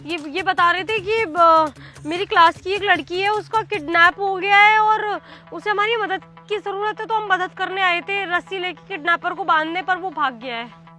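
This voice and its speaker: A woman plays a defiant schoolgirl with a very high voice, an evasive younger sister in a melodramatic moral-story skit. Music plays clearly behind her. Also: moderate pace, pushed and bright. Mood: nervous, evasive. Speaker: actor in a moral-story video. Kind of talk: amateur melodramatic skit acting.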